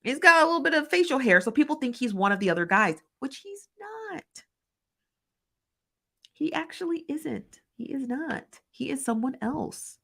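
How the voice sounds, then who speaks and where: A woman's voice: high-pitched, expressive, vocal fry, sharp tone. Woman commentary host, emphatic and repetitive, confident storyteller. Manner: emphatic, repetitive, argumentative, with quick laughs. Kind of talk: opinionated news commentary, reading viewer comments.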